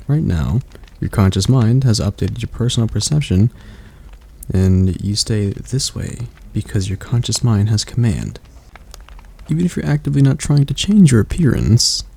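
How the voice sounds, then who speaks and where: A man playing a gravelly, soft-spoken demon tutor. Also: fairly low pitch. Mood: reassuring. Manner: slow, soft-spoken, coaxing. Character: soothing male demon tutor to a young royal. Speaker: ASMR roleplay voice actor. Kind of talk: ASMR roleplay.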